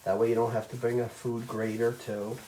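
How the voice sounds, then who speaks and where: Loud chatty man in an echoey kitchen. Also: mid-range voice, flat delivery, a little fast, a little hiss or hum. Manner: loud, chatty, says "okay?" often. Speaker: cooking instructor. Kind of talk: live virtual baking class. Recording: roomy kitchen sound, mic at a distance.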